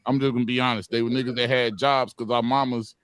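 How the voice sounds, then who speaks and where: A man's voice: mid-range voice, little pitch movement, fast, a bit bright, clear, resonant tone, no echo. A man in his forties talking earnestly in a heated group podcast discussion, repeating phrases for emphasis. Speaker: podcast panelist.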